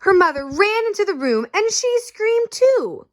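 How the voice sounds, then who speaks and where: A woman reading a picture book aloud to children, expressive and asking her listeners questions. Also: very high voice, measured pace. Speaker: story reader.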